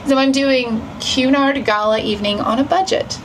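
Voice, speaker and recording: A woman's voice: high voice, moderate pace, a little hiss or hum, low-quality recording. A woman chatting casually for a travel vlog in a self-deprecating way. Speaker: travel vlogger.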